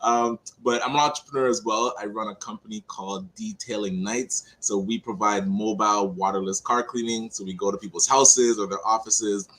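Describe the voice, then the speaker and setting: Raspy-voiced man chatting casually. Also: lowish voice, normal speed, hardly any pauses, rough recording. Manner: relaxed, chatty, laughs easily. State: hoarse. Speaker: entrepreneur guest. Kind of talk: casual chat.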